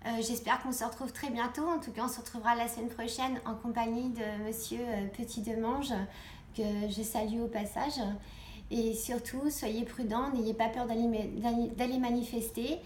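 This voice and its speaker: High-voiced woman in an echoey room. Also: steady tone, normal speed, a little mellow. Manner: earnest, exhorting, repeats phrases for emphasis. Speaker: online video host. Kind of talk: informal political video address to viewers. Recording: echoey room, clear background noise.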